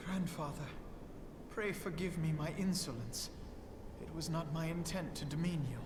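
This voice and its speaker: An actor playing a contrite, courteous teenage grandson in a video game, with formal, humble phrasing. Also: male voice, fairly high pitch, moderate pace, lightly acted. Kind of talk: dramatic video game voice acting. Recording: roomy, noisy game capture.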